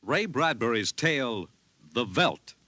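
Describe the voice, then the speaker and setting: A man's voice: medium pitch, sing-song, slow, bright, forceful tone, no echo. A man giving a grand, declamatory introduction as a 1950s science-fiction radio announcer. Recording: vintage radio recording with music behind. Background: music.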